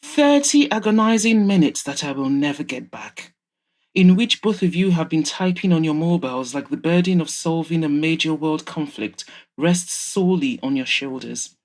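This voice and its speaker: Audio drama: rich, sharp-tongued mother of an adult son, acted by a woman, low register, emphatic and reproachful. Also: animated, normal speed. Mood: sarcastic, irritated. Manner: scolding, sarcastic, emphatic.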